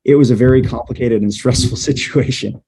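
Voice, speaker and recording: A man, a storm chaser and journalist, telling a story in a casual interview, animated and full of fillers. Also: mid-range voice, husky. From USA. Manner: animated, hesitant fillers, quickens at times.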